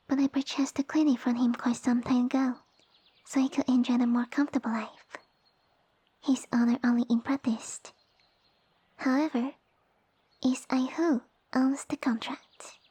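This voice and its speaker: A woman voicing a small, sassy female lamia who rules her village, speaking softly and breathily with formal, imperious phrasing in an ASMR roleplay. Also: high voice, dry recording, heavily acted. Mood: composed, authoritative. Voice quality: soft, breathy, whispery. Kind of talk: ASMR roleplay audio addressed to the listener.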